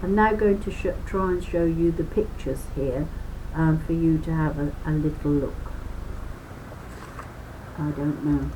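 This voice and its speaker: A woman's voice: deep voice, measured pace, pauses often, dark tone, slight background noise, poor audio. A woman reading a children's book aloud as a lesson, explaining as she goes with chatty asides and stressing key words.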